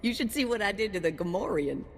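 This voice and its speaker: A woman's voice: medium pitch, animated, fast talker, slight background noise, lightly acted. A woman playing a folksy, familiar, straight-talking handler of bounty hunters in a video game. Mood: chummy. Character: folksy, chummy female bounty hunt handler. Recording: long reverb.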